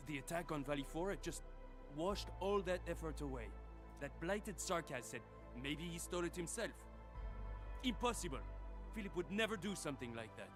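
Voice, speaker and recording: A man's voice: on the high side, animated, normal speed, music in the background, lightly acted. A man playing an earnest, worried worker in a video game, speaking emphatically in defense of his friend. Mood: indignant.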